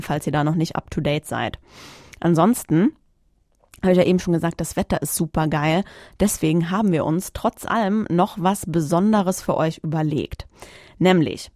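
A woman's voice: lowish voice, a little mellow, no echo. Casual woman podcaster, dry studio sound. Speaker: podcast host. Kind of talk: casual solo podcast announcement.

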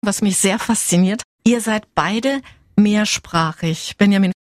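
A woman's voice: medium pitch, unhurried, no room sound, studio quality. A woman interviewing guests, asking questions in a friendly, inquisitive way.

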